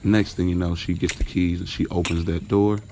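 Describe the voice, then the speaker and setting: A man's voice: low-pitched, flat delivery, a little fast, noisy background. A man playing a smitten driver in an audio drama, candidly recounting his night in informal, slangy first-person storytelling. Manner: informal, slangy, acting out dialogue. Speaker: narrator and lead character of an audio drama.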